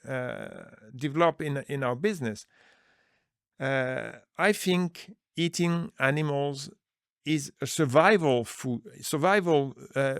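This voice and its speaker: Earnest man with a gravelly voice. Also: fairly high pitch, no echo, clean studio sound. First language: Dutch. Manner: earnest, searching for words, many restarts. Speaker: business manager, interview guest. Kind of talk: conversational interview answers.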